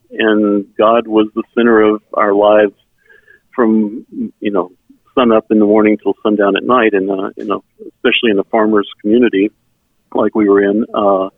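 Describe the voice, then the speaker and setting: Phone-like low-voiced middle-aged man, native German speaker. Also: no room sound. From South Texas. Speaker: interview guest, member services volunteer. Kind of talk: reflective personal storytelling. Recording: tinny phone-like sound, band-limited.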